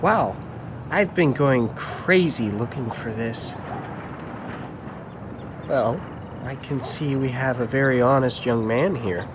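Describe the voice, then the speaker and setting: A man's voice: mid-range voice, takes it slowly, long pauses, close to an everyday voice. A man playing a kindly, grateful old man in a puppet show, speaking courteously and earnestly. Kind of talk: church puppet show dialogue. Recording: clearly noisy background.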